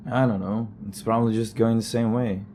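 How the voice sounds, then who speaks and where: An actor plays a steady, supportive male friend in a horror audio drama. His voice is soft-edged and mellow, with a faint hum of car and road behind it. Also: on the low side, fairly quick, close to an everyday voice. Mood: cautious.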